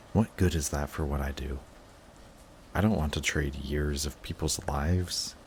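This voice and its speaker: A man playing an emotional villain confessing to his longtime enemy in a dramatic audio roleplay, intense, halting and defensive. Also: a bass voice, a little hiss or hum. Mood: bitter, subdued.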